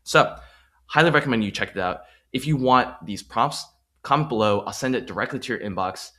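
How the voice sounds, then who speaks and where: A man's voice: medium pitch, expressive, fairly quick, sharp tone. A man hosting an explanatory tutorial, talking the viewer through each step in an emphatic, promotional way. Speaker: host of a finance tutorial. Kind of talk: explanatory screen tutorial with sales-pitch phrasing.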